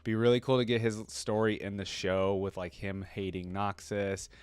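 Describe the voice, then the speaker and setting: A man's voice: on the low side, little pitch movement, moderate pace, a little mellow, clean tone, no room sound. A man hosting a reaction video, giving casual, conversational commentary that rambles with plenty of filler words.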